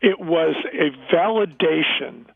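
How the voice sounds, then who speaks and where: A man giving an interview over a phone-like line, telling stories conversationally with emphatic, measured phrasing. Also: a little high, expressive, gravelly. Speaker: interview guest, business founder.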